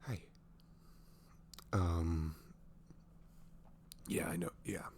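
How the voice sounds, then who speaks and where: Guy doing a dorky best friend being all fake professional, asmr roleplay, creaky low voice, bit of hiss. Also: steady tone, a little mellow, exaggerated voice. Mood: awkward, mock-formal. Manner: halting, mock-professional, deliberate. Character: goofy young male best friend acting mock-formal.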